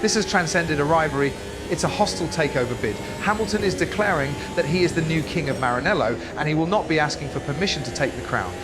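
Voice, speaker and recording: A man's voice: fairly high pitch, animated, fast talker, loud music behind the voice. A man giving dramatic, theatrical motorsport commentary in a grandiose, hyperbolic manner. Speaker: race commentator.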